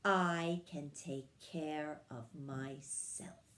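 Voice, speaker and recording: A woman's voice: low voice, drawn-out words, a bit bright, pitched below the performer's own voice, full character voice. A woman voicing a small, feisty young girl fairy who is boastful and defiant, in a children's storybook read-aloud. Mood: pleased, proud. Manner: boastful, emphatic.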